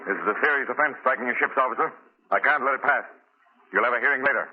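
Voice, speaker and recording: An actor playing a stern, authoritative ship's captain with clipped, commanding speech in 1930s radio drama style. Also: male voice, mid-range voice, very fast, breathy edge, lightly acted. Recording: thin, narrow 1930s radio recording.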